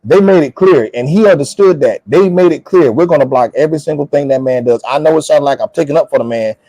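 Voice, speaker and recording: Emphatic, higher-voiced man talking politics. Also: quick pace, no room sound, poor audio. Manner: animated, emphatic, repeats phrases; blunt, colloquial. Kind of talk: opinionated casual political chat.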